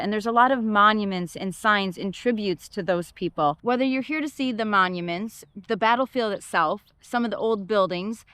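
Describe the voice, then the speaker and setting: A woman's voice: medium pitch, a bit bright, smooth, no echo. Chatty woman with a Michigan accent. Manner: chatty, thinks aloud with fillers. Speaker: travel vlogger. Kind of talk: casual travel chat. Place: outdoors at a park.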